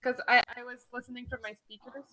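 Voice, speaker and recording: A woman's voice: fairly high pitch, little pitch movement, fast, sharp tone, rough recording. A woman tabletop player bantering and joking with the group. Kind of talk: casual banter on a live stream.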